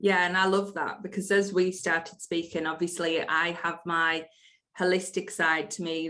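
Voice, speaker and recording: A woman's voice: on the low side, creaky, fairly bright tone. A woman hosting a podcast interview, upbeat and eager, praising with words like incredible and brilliant. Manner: upbeat, eager, leans on superlatives. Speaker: podcast host. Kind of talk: casual interview chat.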